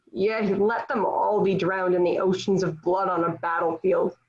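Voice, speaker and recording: A woman's voice: fairly low pitch, steady tone, a little slow, a little hiss or hum, natural voice. A woman playing a defiant, devout young warrior held captive, with solemn, declamatory phrasing in a table read of a historical drama, on a dull lo-fi recording. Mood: scornful, defiant. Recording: dull, lo-fi home online recording.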